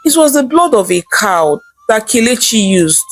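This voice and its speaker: A woman with a Nigerian accent voicing an anxious, persuasive husband in a folktale, pleading with drawn-out words. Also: on the high side, sing-song. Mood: persuasive, insistent.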